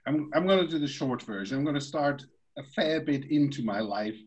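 A man from Switzerland, a podcast guest, telling the story of his career in a relaxed, rambling way. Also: mid-range voice, fairly quick, husky, muffled recording. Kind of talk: conversational storytelling.

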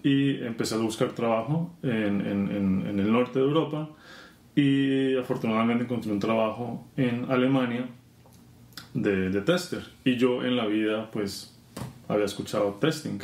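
A Colombian man guest talking casually about his work in software testing, relaxed with many fillers. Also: mid-range voice, moderate pace. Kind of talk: casual interview. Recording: roomy conference venue.

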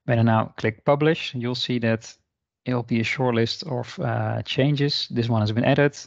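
A man narrating an unscripted screen-share software tutorial in an informal, explanatory way. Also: mid-range voice, fairly even intonation, measured pace, gravelly, no echo, lo-fi sound. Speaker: software demonstrator. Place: indoor voice-over for screen recording.

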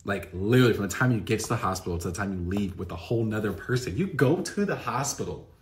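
A man in his thirties with a low voice chats straight into a phone held close. He rambles, restarts sentences and leans on fillers. The sound is from a bright room at home. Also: animated, quick pace, a little hiss or hum. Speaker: vlogger answering viewer questions. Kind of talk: casual to-camera chat.

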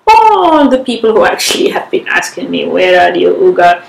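A woman's voice: fairly low pitch, sing-song, creaky, slight background noise. Tired, nasal woman in an echoey room. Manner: frank, unhurried, with small restarts. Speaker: vlogger. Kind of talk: casual advice talk to camera. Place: living room with sofa, indoors.

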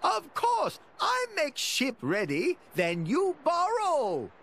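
A high, gravelly old furry creature with hugely swooping pitch. He is a blustery, friendly game merchant who talks in clipped broken grammar. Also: wildly swooping pitch, slow, fairly bright tone, heavily acted. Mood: jubilant. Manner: broken grammar, drops articles, exclaims. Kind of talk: video game character acting.

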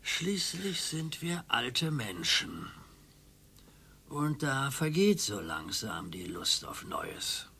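An actor playing a bored, grumpy older retired husband with a weary, gruff manner and a husky voice. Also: male voice, mid-range voice, sing-song, takes it slowly, pushed and bright, exaggerated voice. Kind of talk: radio play acting.

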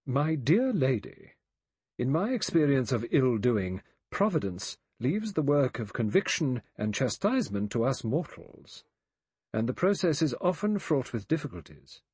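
Audiobook elderly judge, played by a male reader: unhurried, precise, coolly ironic, small voice. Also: a little high, huge pitch swings, gravelly, darkish tone, husky, dry recording, voice pushed up, exaggerated voice. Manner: small, precise, deliberate delivery.

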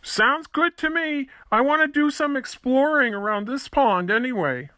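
A woman's voice: sits high, lively intonation, moderate pace, sharp tone, no room sound. A woman voicing a curious fifth-grade schoolboy in a scripted children's puppet show. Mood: keen.

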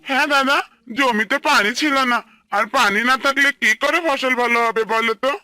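A man's voice: very high voice, moderate pace, bright, forceful tone, exaggerated voice. A man voicing an earnest, plaintive, humble farmer and worried husband in a cartoon. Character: anxious humble young farmer and husband, pleading. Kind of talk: Bengali cartoon dialogue dubbing.

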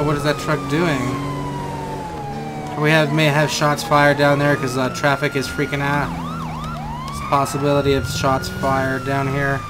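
Guy doing casual police game commentary, slightly high voice, cop lingo and slang, loud sirens and engines behind him. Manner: narrates own actions in police jargon and slang. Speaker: gaming video host. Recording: loud vehicle and siren noise. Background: game police sirens and vehicle sounds.

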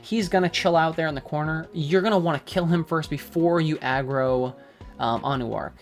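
A man giving a relaxed, casual walkthrough of a game over gameplay, with frequent fillers. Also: a little high, normal speed, faint music behind. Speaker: gaming guide creator.

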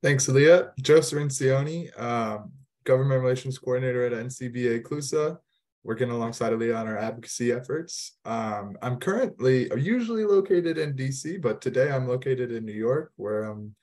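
A man's voice: mid-range voice, moderate pace, darkish tone. A young man, a government relations coordinator, introducing himself on a video call with many fillers. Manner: fillers, trailing off.

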